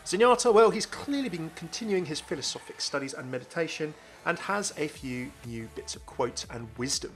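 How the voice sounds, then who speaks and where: A man's voice: a little high, lively intonation, moderate pace, few pauses, a bit bright, faint music behind. A man hosting a gaming channel, giving chatty, enthusiastic, informal commentary on a video game.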